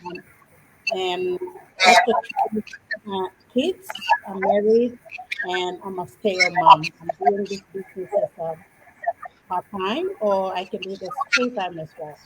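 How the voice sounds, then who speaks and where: A woman's voice: medium pitch, expressive, unhurried. Woman on a very noisy video call. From Papua New Guinea. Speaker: guest. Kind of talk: casual live video chat.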